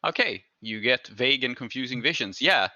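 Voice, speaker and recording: Brief: male game master, conversational and halting, very bright forceful tone, close dry but slightly dull lo-fi recording. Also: medium pitch, animated, no echo. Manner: halting, conversational, thinking aloud. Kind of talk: improvised tabletop game narration.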